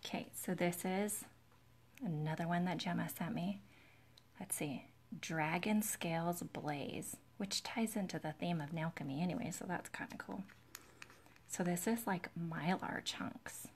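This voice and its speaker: Soft breathy lady doing a live nail demo, lowish crackly voice, nearly whispery, super chill, right up on the mic. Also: a little slow. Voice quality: low, soft, breathy voice, often near whisper. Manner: relaxed, soothing, quiet, close to the mic. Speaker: nail technician host of a live tutorial. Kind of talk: chatty live-stream demonstration, greeting viewers. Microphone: close to the camera's microphone.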